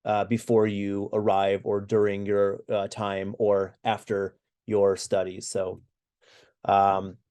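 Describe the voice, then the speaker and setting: A man's voice: on the low side, completely flat delivery, slow, darkish tone. A middle-aged American man co-hosting a webinar, presenting over a video call with lots of "uh" fillers and restarts. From United States. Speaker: webinar co-host.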